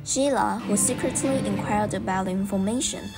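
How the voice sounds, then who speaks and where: A young woman narrates an amateur student radio drama from a script. Her pitch is medium, and music plays clearly behind her. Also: normal speed. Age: young adult.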